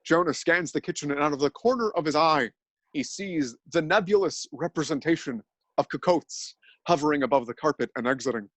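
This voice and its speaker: A man narrating a scripted dramatic reading, telling absurd events in an earnest, deadpan way. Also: fairly high pitch, fairly quick, no echo.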